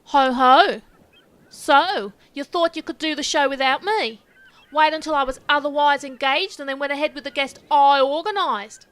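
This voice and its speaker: Squawky-high brash Australian presenter. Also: female voice, normal speed. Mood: indignant. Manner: loud, indignant, matey. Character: brash, dim, indignant Australian female show presenter. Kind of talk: audio comedy sketch.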